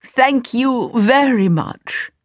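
Woman playing a slow, scheming old wizard man. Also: on the high side, animated, no room sound, clean studio sound, voice pushed up, heavily acted. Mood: smooth, pleasant. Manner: slow, drawn-out, theatrical delivery. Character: sly old male wizard posing as kindly uncle.

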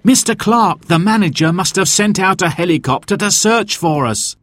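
Man acting an urgent, animated storybook dad. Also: medium pitch, slow, no room sound. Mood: excited. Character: father of two, hopeful, urgent, commanding. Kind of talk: children's story dialogue.

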